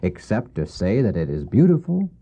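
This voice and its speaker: An actor playing a gentle, weary widowed father in a radio drama, speaking tenderly and haltingly to his young son. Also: on the low side, wildly swooping pitch, breathy edge, exaggerated voice. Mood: tender, earnest. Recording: dull old cassette audio.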